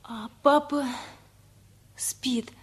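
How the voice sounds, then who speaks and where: An actress playing a gentle, tactful teenage daughter in a Soviet radio play. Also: female voice, high-pitched, steady tone, very slow, slightly rough, heavily acted. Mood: gentle, soothing. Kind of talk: Soviet radio theatre acting.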